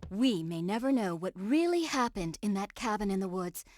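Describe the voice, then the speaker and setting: A woman's voice: mid-range voice, lively intonation, normal speed, darkish tone, no echo, clean studio sound, close to an everyday voice. A woman playing a gushing, theatrical host of an occult television show in a video game. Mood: dramatic. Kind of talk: video game voice acting, TV presenting.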